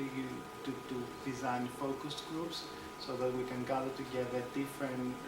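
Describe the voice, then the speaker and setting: A man from Greece with a Greek accent giving an academic seminar talk, hesitant with many pauses and restarts. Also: medium pitch. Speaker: seminar speaker. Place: seminar room. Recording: echoey room, distant mic, loud background noise.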